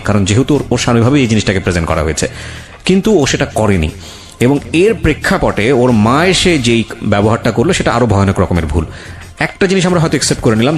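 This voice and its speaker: A man with a Bangladeshi accent hosting a talk show, giving earnest, emphatic advice to listeners and mixing in English phrases. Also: on the low side. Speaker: radio show host. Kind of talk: conversational commentary and advice to listeners. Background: background music.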